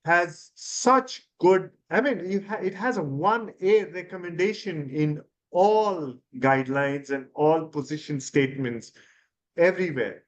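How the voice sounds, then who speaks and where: Male ENT specialist, fifties, from India; hesitant explainer, slightly high voice. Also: measured pace, lo-fi sound. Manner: explanatory, hesitant with fillers and restarts. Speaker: ENT surgeon guest. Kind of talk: expert interview answers.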